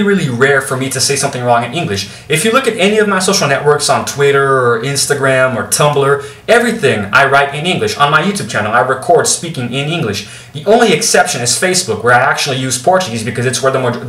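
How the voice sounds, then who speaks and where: A young man with an American accent talks straight to the camera, blunt and fed up, dropping curses and fumbling his words as he goes. His voice sits at an ordinary pitch. The room is echoey and he is set a little back from the mic. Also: a bit bright. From Brazil. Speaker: YouTuber. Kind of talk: unscripted vlog rant. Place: room indoors, talking to camera. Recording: roomy echo, a bit far from the mic.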